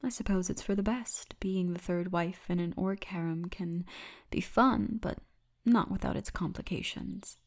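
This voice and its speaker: A woman voicing a friendly female orc tour guide in an intimate ASMR roleplay, speaking softly and often breathily. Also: on the low side. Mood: teasing, wistful. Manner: soft, intimate, unhurried storytelling, often breathy. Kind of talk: ASMR roleplay, addressed to the listener.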